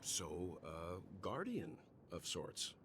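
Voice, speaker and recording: Game role: composed male traveler, deep voice, polite and measured, over noticeable ambient noise. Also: huge pitch swings, fairly bright tone, full character voice. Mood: inquisitive. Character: Mister Yang, composed, inquisitive, gentlemanly male traveler. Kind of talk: English video game voice acting.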